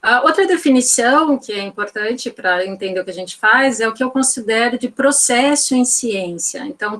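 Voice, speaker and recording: A woman's voice: medium pitch, fairly bright tone. A woman with a Northeastern Brazilian accent giving an online physics-education lecture over a video call, talking in a loose, thinking-aloud way full of "né" and "então".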